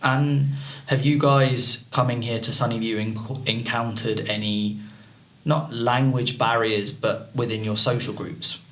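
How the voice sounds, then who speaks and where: A man's voice: mid-range voice, unhurried. A man hosting a casual school panel podcast, posing questions and chatting animatedly. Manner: prompting questions, animated about swearing. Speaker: podcast host.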